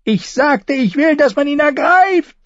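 A man's voice: extremely high pitch, expressive, normal speed, dry recording, highs cut off, pitched above the performer's own voice. A man voicing a petulant, whiny young boy king in an audiobook. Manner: whiny, demanding.

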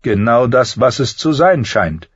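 A man's voice: mid-range voice, lively intonation, a little slow, breathy edge, pitched above the performer's own voice. A man voicing a sly, smug small lord with a teasing, theatrical manner in an audiobook. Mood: smug, amused. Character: sly mocking small lord with pointed goatee, brothel owner. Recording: dull, roomy audiobook recording.

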